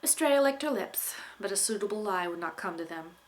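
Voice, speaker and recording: A woman narrating a young adult fantasy story as an author reading aloud, with expressive delivery. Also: medium pitch, a little fast, slight background noise. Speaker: author reading from own novel.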